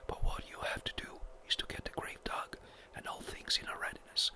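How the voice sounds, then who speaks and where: Brief: fussy elderly country parson, clipped and hurried, whispered by the narrator. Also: bright, forceful tone. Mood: brisk. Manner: brisk, a little impatient. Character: fretful elderly village rector, a man.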